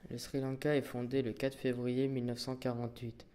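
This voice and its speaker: A man's voice: mid-range voice, monotone, a little fast, warm tone. A man reading scripted, factual documentary narration. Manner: factual, read aloud.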